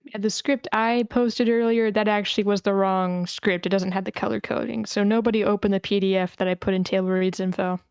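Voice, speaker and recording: Woman, casual organizer, mid-pitched voice, dry recording. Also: fairly even intonation, a little fast, darkish tone, clean tone, no echo. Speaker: host of an online script table read. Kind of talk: casual group organizing chat.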